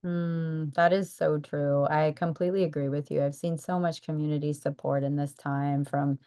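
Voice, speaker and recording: A lower-pitched woman with an American accent hosts the interview, answering her guest with warm, affirming reactions. She frames questions with easy enthusiasm in a steady, conversational way. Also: normal speed. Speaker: podcast host. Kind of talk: interview hosting.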